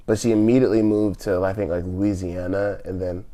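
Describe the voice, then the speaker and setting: A man's voice: sits low, fairly even intonation, a little fast, mellow. A man in his thirties from California, a podcast guest talking casually and hesitantly, with many restarts and trailing phrases. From California, USA. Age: 30s. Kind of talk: casual interview conversation.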